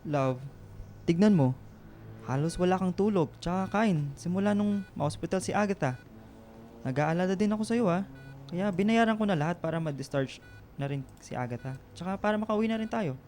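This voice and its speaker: A man's voice: sits high, animated, fairly quick, darkish tone, soft background music. A man playing a devoted, patient young boyfriend in a radio romance drama, with a soothing, coaxing way of talking. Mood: worried, placating.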